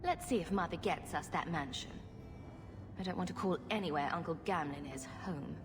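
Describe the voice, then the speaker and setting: An actress playing a wry, protective young rogue, an older sister in a fantasy video game. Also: female voice, lowish voice, moderate pace, music in the background, close to an everyday voice. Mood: wry, concerned.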